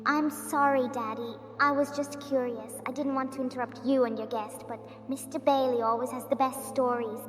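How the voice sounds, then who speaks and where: A woman playing a curious, eager little girl, polite and excitable, in a spooky audio drama. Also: high voice, normal speed, hardly any pauses, close to an everyday voice. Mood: apologetic. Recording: echoey hall with faint music.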